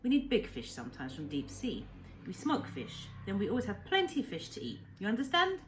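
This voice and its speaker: A woman voicing a kindly old Japanese castaway doctor in a storybook, speaking earnestly in short, halting, simplified English sentences. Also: medium pitch, wildly swooping pitch, slight background noise, pitched above the performer's own voice. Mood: cheerful, eager.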